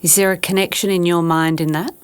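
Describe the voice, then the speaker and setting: A woman's voice: fairly low pitch, steady tone, brisk, clean tone. A woman hosting a podcast interview, asking short, encouraging follow-up questions. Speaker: podcast host.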